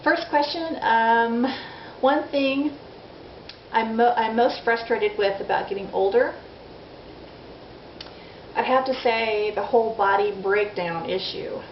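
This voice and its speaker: A woman's voice: on the high side, slow pace, long pauses. Woman in her forties, candid vlog chatter, self-deprecating, dull lo-fi sound, faint hiss. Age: 40s. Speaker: vlogger. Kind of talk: casual video diary. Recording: dull lo-fi audio in an echoey room with faint noise.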